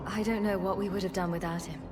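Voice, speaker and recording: An actress playing a former research scientist leading refugees, speaking in a measured, earnest way. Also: female voice, mid-range voice, fairly even intonation, noisy background, lightly acted. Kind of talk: video game voice acting.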